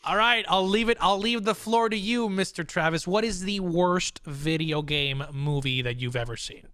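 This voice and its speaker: A man hosting a casual podcast, talking excitably and repeating phrases for emphasis. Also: high-pitched, moderate pace, smooth, no echo, studio quality. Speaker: podcast host.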